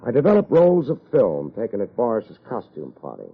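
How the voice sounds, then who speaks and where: An American actor playing a tough, wry private investigator in 1950s radio detective style, with a husky voice and clipped, sardonic delivery. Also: male voice, mid-range voice, wildly swooping pitch, a little fast, heavily acted. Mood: hard, accusing. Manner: clipped, hard-boiled, sardonic. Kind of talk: 1950s radio detective acting and first-person narration. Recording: muffled vintage radio recording.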